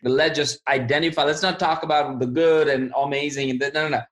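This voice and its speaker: A man's voice: on the high side, fairly even intonation, fairly quick, a bit bright. Enthusiastic male podcast host. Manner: enthusiastic, affirming, summarizes takeaways. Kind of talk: interview wrap-up and summary.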